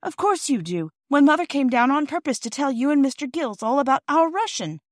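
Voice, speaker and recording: An earnest, anxious storybook child, voiced by a woman, pleading with a grown-up. The voice is lifted high above the narration and recorded very dry. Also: expressive, fairly quick, no echo. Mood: earnest, apologetic.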